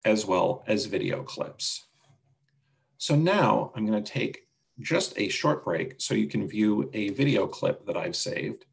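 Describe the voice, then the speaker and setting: A man leads a casual software demonstration over a video call. His voice is on the low side with noticeable vocal fry. He explains each step in a plain, instructional way. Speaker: webinar presenter demonstrating a website.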